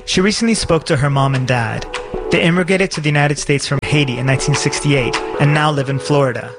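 A man's voice: a little high, a little fast. A man hosting a podcast, reading a scripted, personal narration. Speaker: podcast host. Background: music.

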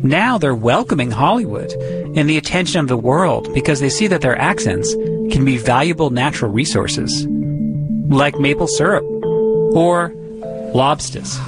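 A man narrating a radio news feature in a measured, conversational way. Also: fairly high pitch, animated, loud music behind the voice. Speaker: radio reporter.

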